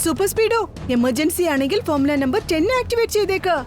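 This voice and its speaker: A woman's voice: very high-pitched, expressive, fast. A woman voicing a brave, righteous young boy hero in a children's cartoon, earnest and resolute. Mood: urgent. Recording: background music under the voice.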